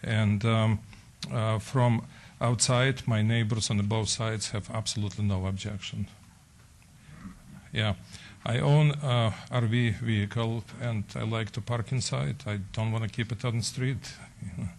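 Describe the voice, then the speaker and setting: A man's voice: lowish voice, fairly even intonation, vocal fry, slight background noise. Polite eager man testifying at a podium. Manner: polite, eager, quick to agree. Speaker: homeowner applying for a permit. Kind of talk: testifying at a public hearing.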